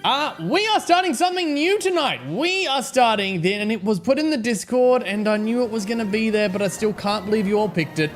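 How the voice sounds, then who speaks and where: A man with an Australian accent and a slightly hoarse voice, chatting casually to viewers on a live game stream and greeting them by name. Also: high-pitched, expressive, a little fast, hardly any pauses, faint music behind. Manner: chatty, greets viewers by name, fretting over technical trouble.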